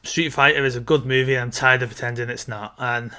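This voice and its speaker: A man's voice: medium pitch, fairly even intonation, fast, sharp tone, smooth. A man co-hosting a casual film discussion, talking conversationally. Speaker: co-host of a video game film discussion show.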